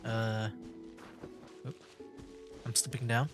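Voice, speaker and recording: A man's voice: mid-range voice, little pitch movement, takes it slowly. Laid-back male game streamer, music behind. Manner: laid-back, rambling, thinking aloud. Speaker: livestreamer playing a video game. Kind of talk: casual gameplay commentary.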